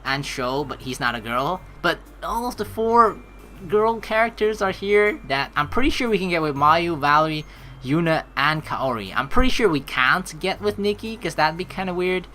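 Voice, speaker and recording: A woman hosting a let's play, reading story narration aloud and reacting with animated, excitable commentary. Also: fairly low pitch, moderate pace, a little hiss or hum.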